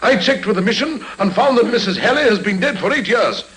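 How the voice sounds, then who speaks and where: An actor playing a pompous, indignant titled gentleman in an old radio drama, blustering as he talks. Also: high-pitched, lively intonation, brisk. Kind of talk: 1950s radio drama acting.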